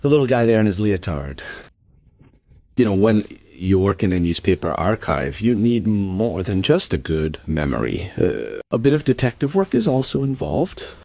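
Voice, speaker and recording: A chatty man as a self-satisfied newspaper archivist in a radio play. His lowish voice is very lively and dry, musing aloud with obvious pleasure. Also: normal speed, dry recording. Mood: pleased, chatty.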